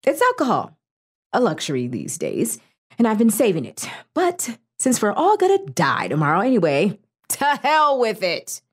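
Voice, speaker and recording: Blunt, weary young female survivor woman performing, audio drama: husky, wry, lively intonation. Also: fairly high pitch, normal speed, full character voice. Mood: wry, resigned. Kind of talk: amateur audio drama acting.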